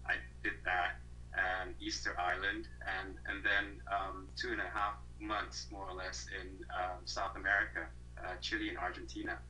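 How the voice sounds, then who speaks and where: A man's voice: fairly low pitch, pushed and bright, a little hiss or hum, poor audio. A man in his thirties from Malaysia, a guest on an interview over a video call, talking thoughtfully with many fillers and restarts.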